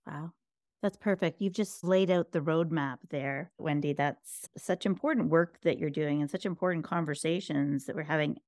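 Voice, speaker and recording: A woman hosting a podcast interview, her voice quite low and her questions encouraging and affirming. She sounds close to the mic in a dry room. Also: moderate pace, darkish tone, no echo. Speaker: podcast host.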